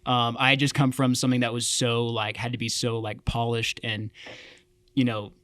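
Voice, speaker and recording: A man's voice: mid-range voice, little pitch movement, normal speed, fairly bright tone, no room sound. A man who plays keys in a worship band, speaking thoughtfully and hesitantly, with many fillers. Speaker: worship band keyboard player. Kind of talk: casual interview chat.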